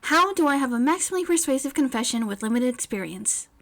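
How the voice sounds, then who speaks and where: A woman plays an eager teenage girl inventor in a fan fiction story. Her voice is fairly high, and she speaks bluntly and earnestly, in technical, matter-of-fact terms. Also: animated, quick pace. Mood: earnest, puzzled.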